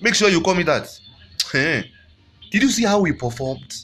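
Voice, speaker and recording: A man's voice: on the high side, sing-song, normal speed, fairly bright tone, faint music behind. A man playing a pompous self-proclaimed Nigerian chief with a put-on Nigerian accent, declaiming into a phone selfie camera. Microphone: phone selfie camera close up.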